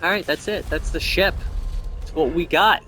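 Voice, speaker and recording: A man's voice: fairly high pitch, moderate pace, sharp tone, a little hiss or hum. A man giving casual gameplay commentary as host, thinking aloud and speculating as he goes. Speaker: gamer host of a gameplay video.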